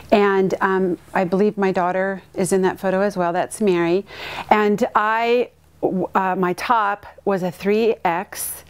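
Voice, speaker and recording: Woman in her fifties from California, speaks eagerly, full of ums and restarts, middle pitch. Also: clear, resonant tone. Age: 50s. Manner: excited, many ums and restarts. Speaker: customer giving a testimonial. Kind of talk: unscripted testimonial.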